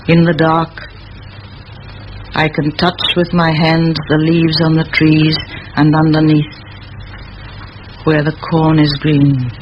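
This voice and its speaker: An actress playing a middle-aged, exacting spinster schoolmistress with a crisp, commanding, dryly ironic manner in a vintage radio play. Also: female voice, low voice. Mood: reading, absorbed. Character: brisk, exacting spinster schoolmistress, dry-witted and forthright. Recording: muffled old radio recording, loud hiss.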